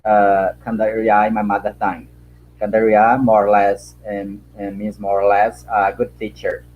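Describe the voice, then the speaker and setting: A man from Brazil speaking English as a second language with a Brazilian Portuguese accent, answering a panel question in a halting way with many fillers and repeated words while he searches for words. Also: lowish voice, level tone, phone-quality sound. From Acre, Brazil.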